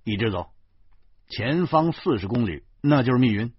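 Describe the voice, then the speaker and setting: A man's voice: medium pitch, expressive, moderate pace, husky, no room sound, dull audio. A man voicing an exasperated, mocking husband in an audiobook, emphatic and sarcastic. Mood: firm. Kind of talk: acted audiobook dialogue.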